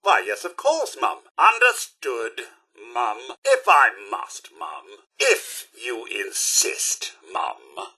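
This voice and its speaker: An actor playing a prim, fussy robot butler in a video game, with a British accent, a raspy metallic edge and formal, theatrical politeness. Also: male voice, mid-range voice, huge pitch swings, takes it slowly, sharp tone, heavily acted. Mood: dutiful. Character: prim, fussy male robot butler, devoted yet snippy.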